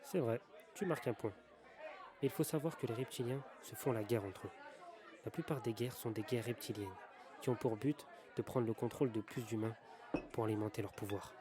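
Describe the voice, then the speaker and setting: An actor playing an earnest, insistent conspiracy theorist stranger in a bar, in an audio drama. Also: male voice, mid-range voice, fairly quick, natural voice. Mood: grave, convinced. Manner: earnest, urgent explaining. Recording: music and bar chatter behind.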